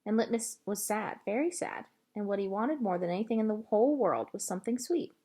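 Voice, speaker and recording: Storybook young girl, voiced by a woman: plain-spoken, eager, stubborn, lively intonation. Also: mid-range voice, fairly quick, slightly rough. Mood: earnest.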